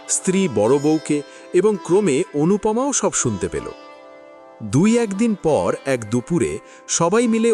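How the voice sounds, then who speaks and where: A man narrating a classic story in an audio drama, with expressive, dramatic storytelling. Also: medium pitch.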